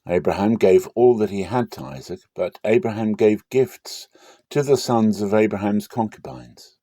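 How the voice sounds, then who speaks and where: A man giving a dramatic scripture reading in measured, short phrases. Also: on the low side, dark tone, no echo. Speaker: Bible reader.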